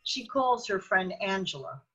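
A woman's voice: mid-range voice, lively intonation, unhurried, heavy background noise. A woman narrating a scripted radio drama.

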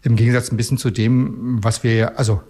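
Husky man explaining, somewhat halting. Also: medium pitch, little pitch movement, warm tone. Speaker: radio museum representative, interview guest. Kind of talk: informal explanatory interview talk.

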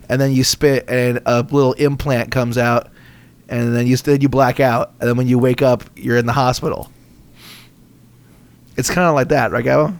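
A man's voice: medium pitch, vocal fry, clear, resonant tone. A man co-hosting a comedy podcast in casual, rambling, jokey banter with some swearing. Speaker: podcast co-host.

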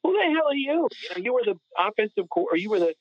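An American man co-hosting a sports podcast, chatting casually in an animated, opinionated, colloquial way. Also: high voice, quick pace, dry recording. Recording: muffled phone-like connection.

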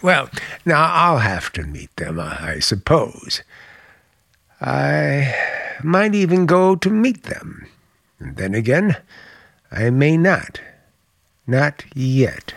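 A man acting in a radio play as an evasive, outwardly polite man on the run with secret menace, speaking in a halting, dryly polite way. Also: medium pitch, sing-song, gravelly, fairly bright tone, no echo, studio quality, full character voice. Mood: guarded, wry.

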